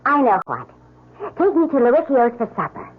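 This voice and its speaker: A woman's voice: on the high side, very animated, normal speed, husky. A woman playing a naive, pouting young mistress and would-be actress in an old radio drama, gushing and wheedling. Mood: pouting, insistent. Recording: tinny, muffled old radio broadcast.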